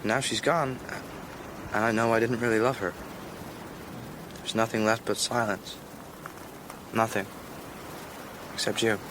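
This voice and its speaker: A man's voice: lowish voice, fairly even intonation, a little slow, long pauses, background noise, close to an everyday voice. A young actor playing a troubled, lonely, intense teenage boy in a naturalistic radio drama. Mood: brooding.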